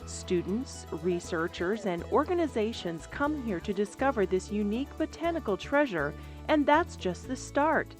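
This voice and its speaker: A woman's voice: mid-range voice, animated, moderate pace, faint music behind. A woman reading a scripted documentary narration as the voice of a program.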